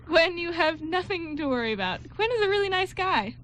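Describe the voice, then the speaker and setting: A woman's voice: very high-pitched, lively intonation, moderate pace, a bit bright. A woman playing an upbeat, reassuring bride-to-be in an amateur radio drama, chatty and encouraging. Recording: faint background noise and room ambience.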